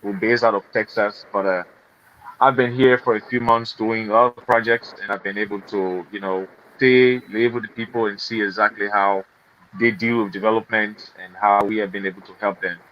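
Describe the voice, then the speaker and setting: A man's voice: lowish voice, steady tone, moderate pace, a bit bright. A man from Liberia, a guest, talking earnestly about his work and family with many fillers. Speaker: guest, NGO executive. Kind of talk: conversational interview. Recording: slightly dull, faint noise.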